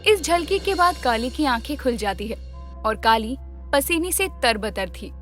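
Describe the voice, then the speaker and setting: High-pitched woman narrating a story. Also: lively intonation, unhurried, a bit bright, soft background music.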